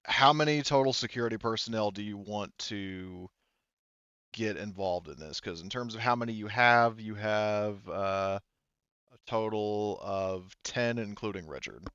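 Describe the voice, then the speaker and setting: A low-pitched man runs a tabletop roleplaying game, talking through the setup in a casual way. The recording is close and dry, slightly dull. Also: a little slow, a bit bright, clean tone, no echo. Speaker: game master.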